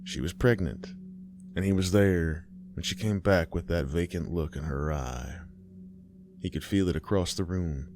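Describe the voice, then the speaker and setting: Deep-voiced folksy male storyteller. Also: darkish tone, slight background noise. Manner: folksy, unhurried, talks straight to the listener. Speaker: horror storyteller host. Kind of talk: scripted horror story narration.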